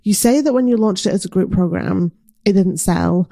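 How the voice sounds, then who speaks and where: A woman hosting a solo podcast, answering listener questions in a gentle, encouraging way while croaky from recovering from an illness. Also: mid-range voice, moderate pace, dry recording, clean studio sound. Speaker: podcast host and business coach. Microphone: close mic, audio only.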